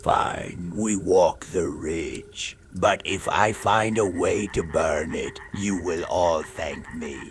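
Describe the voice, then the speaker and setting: A man playing a gruff, stubborn, brooding orc in a fantasy audio drama. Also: sits low, slow pace, a little hiss or hum, voice pushed down.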